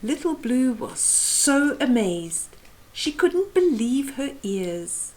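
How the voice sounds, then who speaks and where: A woman in her fifties reading a children's picture book aloud expressively, with deliberate phrasing for young listeners. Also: high-pitched, big pitch swings, darkish tone, rough recording. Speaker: storyteller host. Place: small room at home, indoors.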